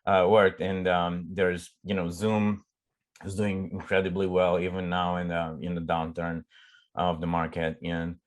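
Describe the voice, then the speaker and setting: A middle-aged man from Romania with a Romanian accent, a startup CEO chatting in an interview, often pausing and restarting as he searches for words. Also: sits low, completely flat delivery. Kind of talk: casual conversational interview.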